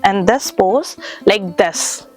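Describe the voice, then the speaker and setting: A woman's voice: mid-range voice, slow pace, faint music behind. A woman interviewing a yoga expert, asking questions and backing her up with frequent short acknowledgements. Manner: encouraging, frequent short "okay" and "yeah" acknowledgements.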